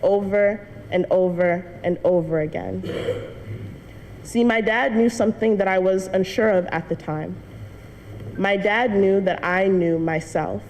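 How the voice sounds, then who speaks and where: A woman's voice: medium pitch, darkish tone. A young woman giving an earnest personal testimony speech to a church congregation, telling her story with light laughs. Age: young adult. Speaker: student speaker addressing a church congregation. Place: church hall. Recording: long church reverb, faint noise.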